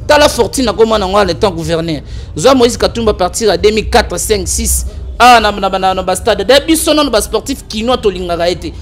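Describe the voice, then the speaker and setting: A man with a Congolese accent, an animated and argumentative political commentator on a talk show. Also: high-pitched, brisk, slight background noise. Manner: animated, argumentative, mixes French and Lingala.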